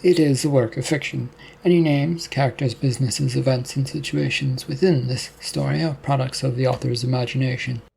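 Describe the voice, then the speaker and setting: A man narrating his own fiction story in a measured reading. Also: mid-range voice, fairly even intonation, gravelly, darkish tone, a little hiss or hum, rough recording. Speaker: author and narrator. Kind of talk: scripted audiobook narration.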